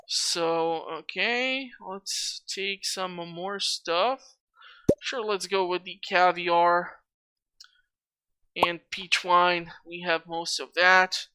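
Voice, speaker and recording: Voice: medium pitch, steady tone, extremely slow, smooth, no room sound. A gameplay host giving casual let's play commentary, rambling and thinking aloud. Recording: loud background noise, clicks.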